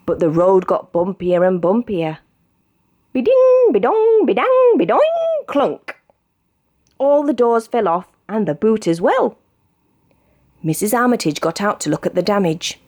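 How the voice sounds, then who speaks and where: A British woman narrates a picture book for young children. Her mid-pitched voice swoops widely up and down, giving the read-aloud a highly expressive, animated delivery. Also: measured pace, pauses often. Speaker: storybook reader.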